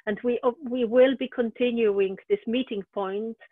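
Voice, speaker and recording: A woman host speaks on a video call, describing events in a steady, informative way with small hesitations. Her voice is slightly high and quite flat. The sound is dry, thin and phone-like. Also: normal speed, dry recording.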